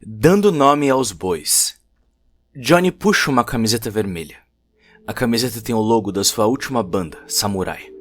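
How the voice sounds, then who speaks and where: A man's voice: on the low side, lively intonation, moderate pace. A man with a Brazilian accent narrating a cyberpunk short story as a scripted, dramatic audio production. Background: faint music.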